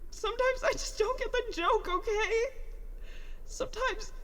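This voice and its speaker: A woman acts out a despairing young engineer in an audio drama. The voice is extremely high and breaks with crying as it rambles in bitter self-mockery. Long reverb surrounds it, and music plays behind. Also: fairly bright tone, a little hiss or hum. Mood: tearful, self-hating. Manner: rambling, halting, sarcastic, self-deprecating. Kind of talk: audio drama monologue, recorded log.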